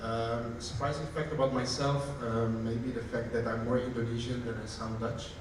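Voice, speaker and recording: A man introduces himself on a panel, sounding tentative and halting with fillers. His voice is mid-pitched and far from the mic. Heavy hall echo and background noise surround him. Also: flat delivery. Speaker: panellist, director of development.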